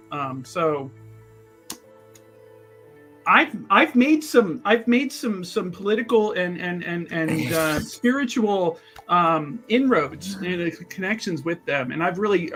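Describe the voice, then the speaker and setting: Someone playing a bright, stammering, effusive male mage. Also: medium pitch, natural voice. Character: flamboyant, rambling male mage eager to defend spirits. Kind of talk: improvised in-character tabletop roleplay.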